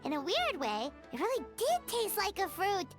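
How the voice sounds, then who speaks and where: A woman's voice: extremely high pitch, huge pitch swings, normal speed, full character voice. A woman voicing a tiny, bubbly female game companion who loves food and talks about herself in the third person. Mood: cheerful. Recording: game audio with loud background music.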